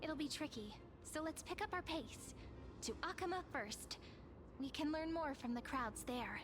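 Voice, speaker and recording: Soft, teasing young storyteller. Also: female voice, high-pitched, expressive, moderate pace, background noise. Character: gentle, playful young woman companion and storyteller. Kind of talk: scripted game narration and dialogue. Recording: game audio with loud music behind.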